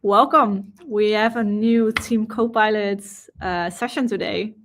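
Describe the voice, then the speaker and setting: Woman hosting a casual livestream, medium pitch, kinda hesitant, loose phrasing. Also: a little slow, a bit bright. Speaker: livestream host. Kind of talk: casual introduction of a guest session. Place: online livestream.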